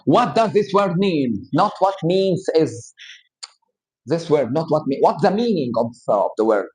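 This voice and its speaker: A man with an Egyptian accent teaching English grammar to a class. He explains slowly and patiently, with Arabic as his first language. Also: fairly high pitch, sing-song, darkish tone. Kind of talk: live classroom grammar lesson.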